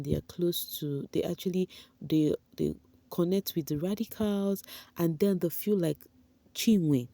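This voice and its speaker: A young woman chats straight to her viewers in a breezy, gossipy recap. Her voice sits low and mellow. The sound is close and dry, with no room around it. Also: dry recording. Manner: breezy, gossipy, with slangy asides and "you know" fillers. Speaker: entertainment vlogger. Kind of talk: chatty gossip recap to camera.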